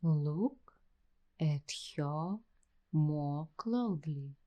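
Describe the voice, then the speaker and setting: Woman as very slow, low-voiced uneasy ASMR waitress. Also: lively intonation, lo-fi sound, exaggerated voice. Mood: suspicious, musing. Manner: hushed, halting delivery in short broken phrases with long pauses. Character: uneasy, suspicious young waitress fascinated by an eerie discovery. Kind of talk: slow ASMR roleplay, addressing the listener.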